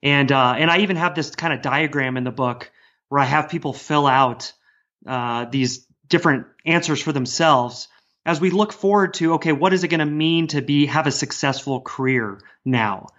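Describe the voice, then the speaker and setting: A man's voice: a little high, normal speed, a bit bright. A man speaking earnestly and discursively as a guest author in a casual podcast conversation. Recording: dull, lo-fi sound.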